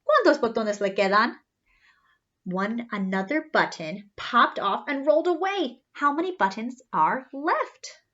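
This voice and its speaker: Woman children's storyteller: high, very lively pitch swings, teacherly read-aloud delivery, singsong refrains, slightly muffled recording. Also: unhurried. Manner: animated, teacherly, spelling things out for young children. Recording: slightly dull, lo-fi sound.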